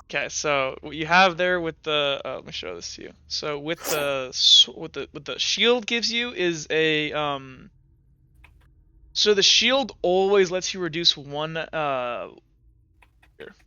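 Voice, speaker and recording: A young man running a tabletop game as game master, narrating scenes and explaining rules in a halting way with many fillers and restarts. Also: fairly high pitch, lively intonation, sharp tone, smooth, dull audio.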